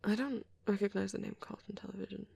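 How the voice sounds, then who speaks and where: A man doing a soft, often whispered ASMR roleplay as a shy, gentle young vampire boyfriend, rambling hesitantly in a breathy, husky voice. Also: sits high, steady tone, quick pace, slight background noise.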